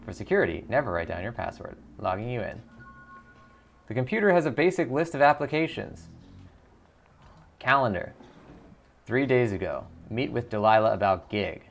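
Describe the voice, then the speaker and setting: A man's voice: mid-range voice, sing-song, moderate pace, gravelly, pauses often, faint music behind. A man hosting a gaming video, casually commenting and reading game text aloud, often muttering to himself as he thinks.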